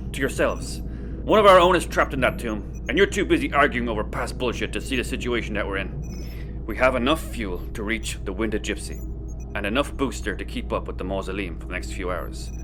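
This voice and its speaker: A man's voice: medium pitch, brisk, over music, natural voice. An actor playing a level-headed, firm and practical spaceship crewman in a sci-fi audio comedy. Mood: exasperated.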